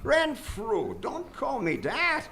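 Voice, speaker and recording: Suave, high-voiced sinister doctor, played by a man. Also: huge pitch swings, takes it slowly, background noise, heavily acted. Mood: controlled, sly. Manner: formal, suave, imperious. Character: sinister, smooth-mannered male doctor, commanding master of servant. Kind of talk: live radio drama acting. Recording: live theater stage performance.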